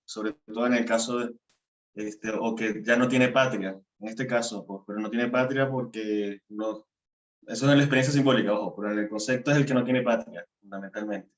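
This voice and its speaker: A man's voice: lowish voice, steady tone, moderate pace. A man with a Venezuelan accent, a student offering a spontaneous explanation during an online class discussion. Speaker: student participant. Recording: roomy, muffled lo-fi call audio.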